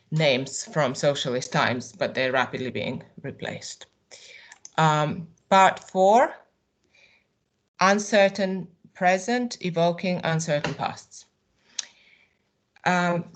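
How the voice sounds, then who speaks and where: A woman with a Serbian accent reads a personal talk aloud to a symposium. Her voice sits a little low, and she keeps a measured, reflective pace. Now and then she hesitates on an um or a restart. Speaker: artist presenting at a symposium. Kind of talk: reading a prepared personal talk.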